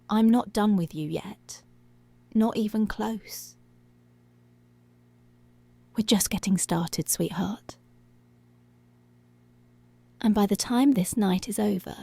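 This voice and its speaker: A woman playing a commanding, seductive policewoman in an intimate ASMR roleplay, speaking slowly and deliberately in a breathy voice that often drops to a whisper. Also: medium pitch, warm tone, no echo, exaggerated voice. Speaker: ASMR voice actor.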